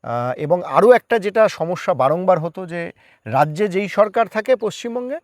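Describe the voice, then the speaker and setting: A man's voice: sits high, animated, moderate pace, no echo. A man talks as a guest in a conversational interview. He explains his views, slipping English words into his speech. The sound is dry, with very little room in it. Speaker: guest, part-time journalist.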